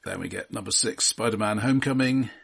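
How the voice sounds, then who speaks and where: A man with a husky voice co-hosting a film discussion podcast, listing results and giving dry, understated opinions. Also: fairly low pitch, a little fast, fairly bright tone. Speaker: podcast co-host. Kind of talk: film discussion, reading out awards and box office lists.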